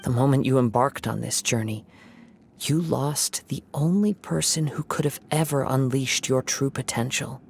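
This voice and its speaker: An actor voicing a calm, measured young male wandering samurai who speaks in a reflective way. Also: medium pitch, vocal fry, a little hiss or hum.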